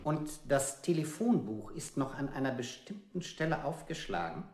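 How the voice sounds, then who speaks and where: A man's voice: medium pitch, very animated, normal speed, very noisy, lightly acted. An actor playing a cold, relentless male interrogator in a radio play, putting formulaic questions with clipped insistence. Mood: cold, insistent. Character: cruel male interrogator, relentless and coldly methodical. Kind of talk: avant-garde radio play, stylised questioning. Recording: echoey old radio play recording.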